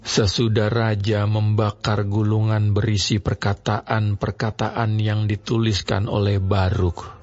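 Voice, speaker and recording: A man narrating an audio Bible drama in a slow, measured reading voice. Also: fairly low pitch, a little mellow. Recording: slightly dull studio audio over music.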